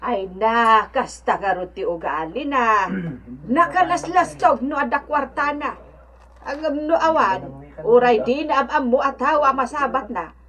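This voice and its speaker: A gossipy older shopkeeper woman in a radio drama. Her high voice swoops expressively as she tuts and disapproves. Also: expressive, a little slow, fairly bright tone. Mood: disapproving. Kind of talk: Ilocano radio drama acting.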